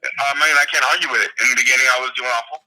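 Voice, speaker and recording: A man's voice: medium pitch, steady tone, very fast, sharp tone. A man calling in by phone, talking in a laid-back, slangy way with some swearing. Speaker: caller, league team owner. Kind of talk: casual phone chat. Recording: tinny phone line.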